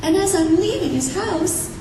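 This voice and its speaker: A woman with a low voice, chatting through anecdotes to an audience in a room and laughing often as she talks. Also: animated, unhurried. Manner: chatty, laughs often while telling stories. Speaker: newspaper writer giving a talk. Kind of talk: casual anecdotal speech to an audience. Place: event room. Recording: echoey room, from a distance, noisy.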